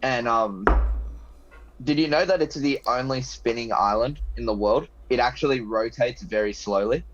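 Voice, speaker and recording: A man's voice: medium pitch, normal speed. A young man with an Australian accent, chatting loosely on a video call through a headset, joking and swearing. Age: young adult. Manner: loose, profane, joking banter. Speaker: guest. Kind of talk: casual video call chat. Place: small room at home. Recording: dry, dull video call audio.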